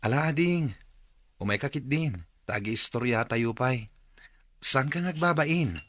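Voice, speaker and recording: A man's voice: mid-range voice, animated, unhurried, a bit bright, slight background noise. An actor playing a friendly, courteous young man visiting in a radio drama, with a Filipino accent. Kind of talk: Ilocano radio drama acting.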